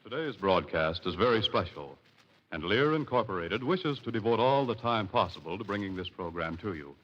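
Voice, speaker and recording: American male announcer, lowish pitch, 1940s sponsor read, dulled vintage radio sound. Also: animated, normal speed, a little hiss or hum. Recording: muffled 1940s radio broadcast.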